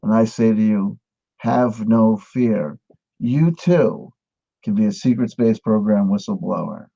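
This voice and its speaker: A man giving a satirical mock lesson, with a mock-earnest pitchman delivery. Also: unhurried, vocal fry, mellow, highs cut off. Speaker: mock instructor. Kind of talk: satirical monologue.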